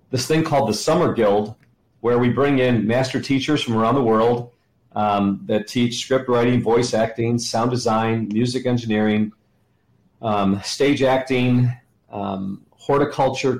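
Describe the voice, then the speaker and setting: A middle-aged or older man talking as an interview guest, enthusiastic and halting as he explains. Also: medium pitch, a little mellow. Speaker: podcast guest, ministry leader. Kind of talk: conversational interview answers. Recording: roomy, echoey sound.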